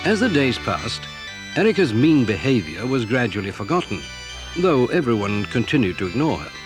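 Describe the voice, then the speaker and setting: A man's voice: mid-range voice, very animated, moderate pace, music in the background. A man reading scripted narration for a children's audio drama, telling the story.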